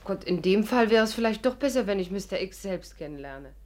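An actress in a 1950s German radio play playing a spirited, sharp-tongued young woman adventurer, feisty and wry. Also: medium pitch, animated, brisk, slight background noise, lightly acted. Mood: teasing.

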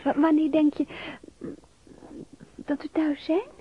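Passionate young bride, radio drama, high slow voice, sighs a lot, bit muffled. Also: female voice, little pitch movement. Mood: amorous, yearning. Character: passionate, yearning young bride in a folk-tale adventure. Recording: dull radio recording, faint noise.

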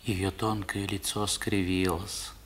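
A man's voice: low-pitched, little pitch movement, slow pace, a bit bright, husky, exaggerated voice. A man playing a reflective narrator recalling his boyhood in a 1960s radio play. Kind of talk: dramatic first-person storytelling in 1960s radio play.

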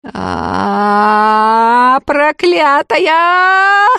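A woman's voice: high-pitched, a little slow, no echo, voice pushed up. A woman voicing a wild peasant woman who shrieks and urges the crowd to violence. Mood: frenzied shrieking.

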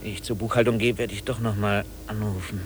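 An actor playing a harried, brusque but helpful chief engineer in a German radio play. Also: male voice, fairly low pitch, fairly even intonation, fast, background noise, natural voice. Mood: resigned.

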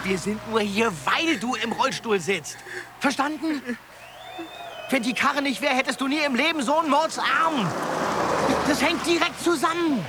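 Blunt excitable young male pal, very high voice, emphatic, radio drama, loud ambient noise. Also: moderate pace. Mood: blunt, chiding. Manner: emphatic, blunt, short exclamations. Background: vehicle and ambient noise.

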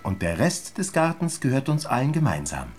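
An actor playing a patient father in a radio play, gently and hesitantly coaxing his young daughter. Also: mid-range voice, wildly swooping pitch, moderate pace, faint music behind. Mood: reassuring. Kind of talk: German radio play acting.

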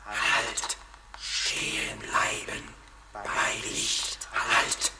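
Eerie disembodied voice: whispered, slow chanted commands, merciless, heavy noise, radio drama. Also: male voice, fairly low pitch, completely flat delivery, exaggerated voice. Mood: menacing. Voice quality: whispered, breathy. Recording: very noisy old radio recording.

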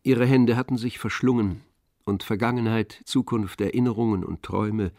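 A man narrating a literary radio drama in a measured, composed, knowing manner. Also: lowish voice, steady tone, warm tone, no echo, clean studio sound, lightly acted.

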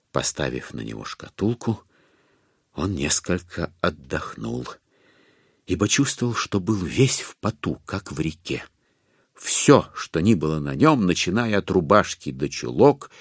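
A man narrating classic literature in an audio drama, with a wry, unhurried storytelling manner. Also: extremely low pitch, gravelly, no room sound. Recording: dry studio, faint music behind.

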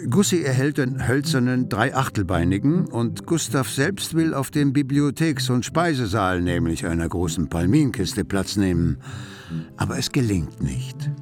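An actor playing the wryly observant narrator of a literary radio play about city life. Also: male voice, mid-range voice, expressive, a little slow. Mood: wry narration. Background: music.

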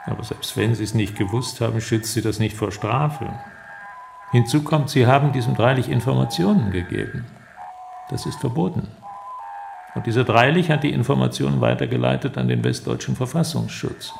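An actor playing a cold, pedantic East German interrogator, officious and deliberate, in a radio play. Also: male voice, mid-range voice, animated, gravelly, noisy background. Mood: cold, menacing.

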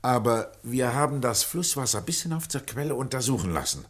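A man's voice: mid-range voice, big pitch swings, a little fast, natural voice. A man acting in a German radio play as a polite, worried waterworks official, explaining earnestly with some hesitation. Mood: puzzled, earnest.

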